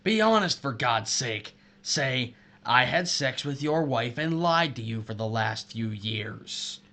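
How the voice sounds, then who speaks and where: Guy playing a betrayed husband gone killer, sharp forceful voice, sarcastic and toying with his victim, audio drama. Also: medium pitch, lively intonation, exaggerated voice. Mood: bitter demanding. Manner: taunting, sardonic, drawn-out deliberate menace. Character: betrayed, vengeful husband turned sadistic murderer, missile silo worker.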